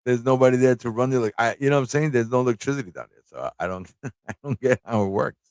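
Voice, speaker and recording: A man's voice: mid-range voice, animated, quick pace, darkish tone, smooth, no room sound, studio quality. A man hosting a livestream fan chat, talking in a rambling, informal way with frequent rhetorical tag questions. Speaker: livestream talk show host.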